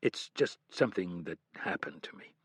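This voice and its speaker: A sheepish, wounded male agent dodging questions, as the narrator plays him. He speaks low and quietly with a husky grain, hesitating over his words. The audiobook sound is close and dry. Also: big pitch swings, normal speed, no echo, exaggerated voice. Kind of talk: audiobook character reading.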